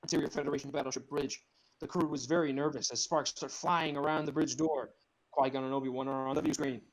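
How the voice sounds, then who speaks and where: A man's voice: mid-range voice, fairly even intonation, quick pace, a crowd in the background, rough recording. A man narrating screenplay directions in a casual group read, dropping in amused asides.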